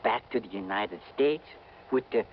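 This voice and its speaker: An actor playing a sly, greedy French fixer who haggles with oily politeness in an old radio drama. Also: male voice, on the low side, normal speed, lightly acted. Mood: eager, oily. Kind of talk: 1950s radio drama acting. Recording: dull, slightly noisy vintage radio recording.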